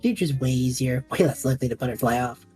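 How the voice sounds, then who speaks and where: Woman voicing a low, flippant young guy student. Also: fast, darkish tone, soft background music. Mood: casual. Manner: casual, flippant. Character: cocky, careless young male student Trevor, flippant schemer. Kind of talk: audio drama acting.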